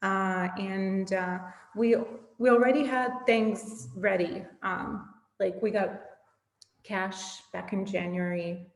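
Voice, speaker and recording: A woman tells her story earnestly, in a voice with a gravelly edge. She is a Russian speaker talking in a casual interview. The sound carries a roomy, echoing reverb. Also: mid-range voice, steady tone, takes it slowly. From southern Ukraine. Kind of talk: casual conversation.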